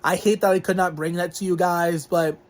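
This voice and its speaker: A man's voice: sits high, flat delivery, moderate pace. A young man from Northeast Ohio giving a rambling health update on his channel, recovering from a cold with a lingering cough, restarting sentences often and filling gaps with "you know" and "like". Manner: rambling, with frequent restarts and fillers. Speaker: vlogger.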